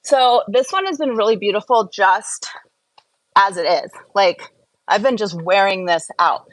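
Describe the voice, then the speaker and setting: A woman's voice: mid-range voice, measured pace, no room sound, rough recording. Woman, mid-range voice, casual skincare vlogger, chatty, rambling, easily sidetracked. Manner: rambling, self-interrupting, easily distracted. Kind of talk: casual chatty live Q&A and product review.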